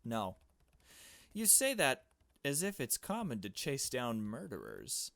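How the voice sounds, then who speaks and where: A man's voice: medium pitch, wildly swooping pitch, slow pace, vocal fry, a bit bright, no room sound, heavily acted. A man voicing a blunt, secretive girl detective in a visual novel game, curt and dismissive. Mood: cold, blunt. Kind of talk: visual novel dialogue read aloud.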